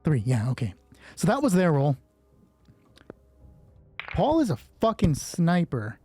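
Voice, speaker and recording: A game master running a solo tabletop roleplay, thinking aloud and narrating in a sweary, excitable way. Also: mid-range voice, unhurried, faint music behind.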